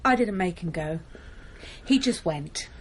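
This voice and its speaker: A woman's voice: lowish voice, expressive, a little slow, slight background noise. An actress playing a strained, earnest middle-aged mother in a naturalistic radio drama, conversational, hesitant and defensive. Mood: wounded, defiant. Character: strained, earnest mother of two, quietly defiant.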